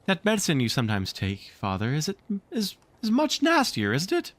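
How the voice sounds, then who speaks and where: A man's voice: on the high side, huge pitch swings, normal speed, no echo, voice pushed up. A man voicing an eager, helpful little girl from a storybook, earnest in manner. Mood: encouraging.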